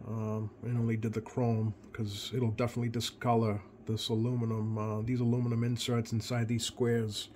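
A man giving a casual hands-on demonstration in a halting, unscripted way, as a hobbyist presenter at a workbench. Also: mid-range voice, a little mellow. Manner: halting, hesitant, unscripted delivery. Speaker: radio repair hobbyist presenter. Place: indoors at a workbench. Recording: clear background noise.

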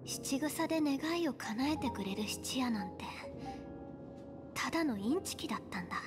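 A woman voicing a gentle, determined young girl in a video game, soft-spoken and sincere. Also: sits high, expressive, normal speed. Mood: dismayed. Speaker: game voice actor. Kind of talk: Japanese game story dialogue. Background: game background music.

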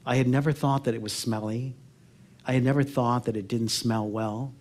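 An American man in his sixties gives a personal storytelling talk, reflective and earnest, pausing and letting phrases trail off softly. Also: mid-range voice, steady tone. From Oakland, California, United States. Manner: reflective, earnest, with pauses and soft phrase endings. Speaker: public speaker. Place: theatre stage before a seated audience.

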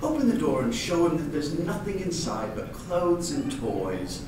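A man voicing a brisk, sweetly bossy mother with a monstrous side in a storybook reading. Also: fairly high pitch, very animated, darkish tone, pitched above the performer's own voice. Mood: soothing, impatient. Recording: roomy, noisy hall, distant mic.

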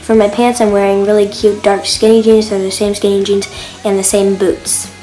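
A woman's voice: medium pitch, level tone, normal speed, mellow, faint music behind, low-quality recording. A teenage girl filming a casual outfit vlog outdoors in a suburban yard, chatty and gushing, recorded on a phone camera set on a mailbox. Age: teens. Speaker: fashion vlogger.

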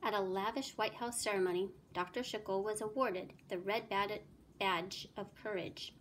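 A woman's voice: medium pitch, steady tone, moderate pace, a bit bright, rough recording. Woman reading aloud over background noise. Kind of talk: expressive children's storybook narration.

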